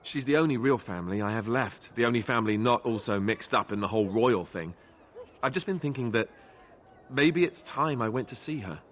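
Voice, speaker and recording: An actor playing an earnest young warden in a video game, speaking in a halting, hesitant way. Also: male voice, mid-range voice, animated, fairly bright tone, a little hiss or hum, natural voice. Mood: hesitant, wistful. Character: earnest young male warden haunted by family ties. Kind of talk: video game dialogue acting.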